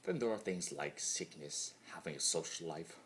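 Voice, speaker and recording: A man's voice: very deep voice, lively intonation, moderate pace, fairly bright tone, voice pushed down. A man voicing a mad, immortal chaos spirit in a theatrical dramatic monologue, torn between glee and brooding. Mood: hushed brooding. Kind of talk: dramatic fan-fiction monologue reading.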